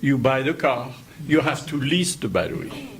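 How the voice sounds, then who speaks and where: A man's voice: mid-range voice, normal speed, background noise. An older man with a French accent, introducing himself at a group meeting in a joking way and laughing often. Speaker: meeting attendee. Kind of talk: casual self-introduction.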